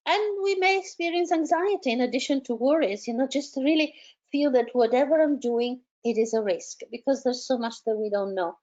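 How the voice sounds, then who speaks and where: Woman, hesitant with many restarts, coaching talk, slightly high pitch, dull lo-fi audio. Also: normal speed, darkish tone. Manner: hesitant, many repeated words and fillers. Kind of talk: advisory talk on coping with stress.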